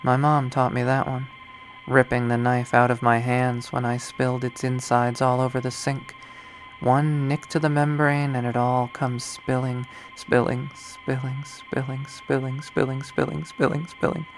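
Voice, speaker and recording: A man reading a visual novel aloud, voicing an obsessive, lovesick young woman who has turned killer, in a slow, hushed, brooding delivery. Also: mid-range voice, flat delivery, soft background music, lightly acted, rough recording. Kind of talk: dramatic first-person readthrough.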